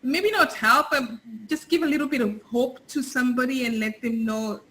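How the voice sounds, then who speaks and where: A woman's voice: high voice, normal speed, pushed and bright, low-quality recording. A middle-aged woman from Africa whose first language is Swahili, speaking earnestly and from the heart as an interview guest, often repeating her words. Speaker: guest, parent. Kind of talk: casual interview chat.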